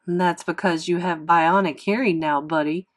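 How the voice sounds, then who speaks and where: A woman's voice: on the low side, steady tone, moderate pace, natural voice. A woman voicing a friendly, reassuring male ear doctor in a storybook read-aloud. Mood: jovial. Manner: reassuring, chummy.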